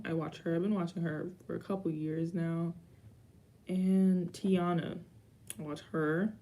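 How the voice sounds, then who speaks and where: A woman's voice: lowish voice, dark tone, a little hiss or hum. A young woman in her twenties answering viewer questions in a rambling, casual vlog chat with dry asides. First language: Haitian Creole. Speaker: YouTuber.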